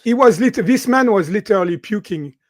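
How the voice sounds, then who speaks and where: A man in his sixties from France with a French accent, a guest in an interview, talking in an animated way and often ending sentences with "right?". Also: high-pitched, brisk, dry recording, clean studio sound. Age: 60s. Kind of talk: interview conversation.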